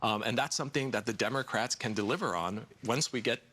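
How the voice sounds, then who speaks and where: A man's voice: medium pitch, fairly quick, bright, forceful tone, slightly rough. A man in his forties, a candidate answering questions in a TV news studio, earnest, with frequent fillers and repeated words. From United States. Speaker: congressional candidate. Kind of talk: TV studio interview.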